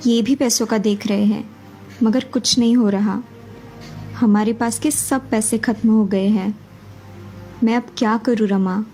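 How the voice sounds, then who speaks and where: A woman's voice: on the high side, fairly even intonation, measured pace, long pauses, dark tone, faint music behind. A woman voicing a worried young mother in a children's story, anxious and pleading. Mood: desperate.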